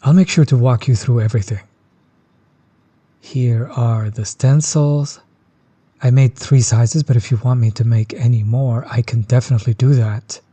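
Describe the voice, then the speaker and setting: A man playing a chill, friendly tattoo artist in an intimate audio roleplay, soft-spoken, easygoing and reassuring. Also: medium pitch, breathy edge, no echo. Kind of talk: intimate one-sided audio roleplay, casual chat.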